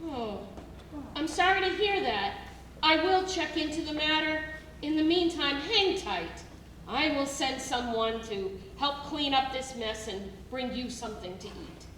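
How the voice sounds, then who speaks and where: Middle-aged female warden, acted by a woman, businesslike and polite, high voice, long hall reverb, distant. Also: expressive, a little slow, a bit bright. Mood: polite, reassuring. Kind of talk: staged musical reading. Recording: reverberant hall, distant mic, faint noise.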